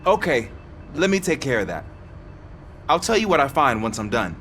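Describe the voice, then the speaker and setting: An actor playing an earnest, helpful police officer in a video game, casual and conversational. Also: male voice, mid-range voice, very animated, moderate pace, a bit bright, slight background noise. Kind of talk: video game cutscene acting.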